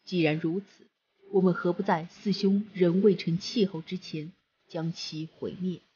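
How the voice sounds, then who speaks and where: A woman voicing a stern young male immortal disciple, speaking low and measured. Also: fairly even intonation, warm tone, husky, highs cut off.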